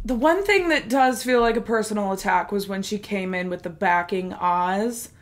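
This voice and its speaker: A woman's voice: medium pitch, normal speed, over music. A woman with a stuffy nose from a cold, chatting enthusiastically as she reacts to a new song. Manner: gushing, enthusiastic, chatty with fillers. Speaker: music reaction vlogger. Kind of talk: casual chat.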